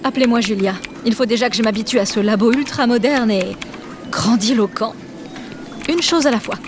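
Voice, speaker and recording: A woman playing a brisk, informal chief engineer in a science fiction audio drama. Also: a little high, music in the background, lightly acted. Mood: focused, curious. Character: brisk, modest female chief engineer aboard spaceship.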